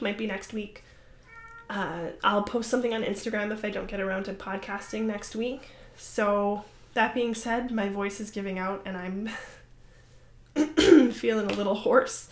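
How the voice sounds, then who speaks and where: A young woman hosting a crafting podcast, chatting casually to the camera in a rambling, apologetic way with lots of fillers. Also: mid-range voice. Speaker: podcast host. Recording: roomy echoey room.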